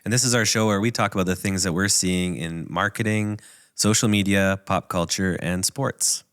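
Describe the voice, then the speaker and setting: Man, thirties, hoarse from a cold, raspy, very low, easygoing joker, dry close sound. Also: normal speed, a bit bright, no room sound. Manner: easygoing and joking. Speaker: podcast co-host. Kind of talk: casual chat.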